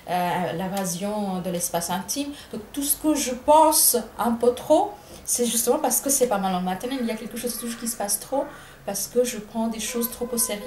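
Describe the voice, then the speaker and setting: A middle-aged woman from India speaks with an Indian accent, choosing her words slowly and reworking her phrases as she goes. Her voice carries some room echo, and a faint ambient hum sits underneath. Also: medium pitch, warm tone. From Bengal, India. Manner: thoughtful, many hesitations and self-corrections. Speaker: writer. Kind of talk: reflective interview answers.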